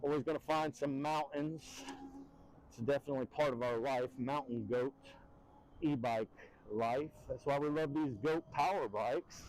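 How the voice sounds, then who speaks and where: A man's voice: a little slow, darkish tone. A man, rambling through a casual e-bike review with many fillers while riding outdoors. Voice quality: very deep voice. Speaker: e-bike vlogger. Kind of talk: casual ride-along review. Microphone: helmet mic. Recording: wind-muffled helmet mic.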